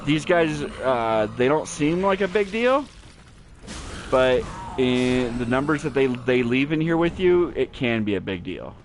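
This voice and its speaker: A man with a naturally deep voice giving relaxed, rambling gameplay commentary with many fillers, recorded at home on a cheap gaming headset mic. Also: a little slow. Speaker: gaming channel host. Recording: low-quality headset mic, faint hiss.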